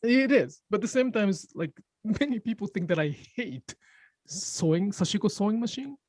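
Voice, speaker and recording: A man with a Japanese accent, a native Japanese speaker, talking about stitching in a casual group conversation, pausing to find words and often laughing. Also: high voice, expressive, darkish tone, no echo, studio quality. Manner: thoughtful, pauses to search for English words, laughs often. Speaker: sashiko teacher.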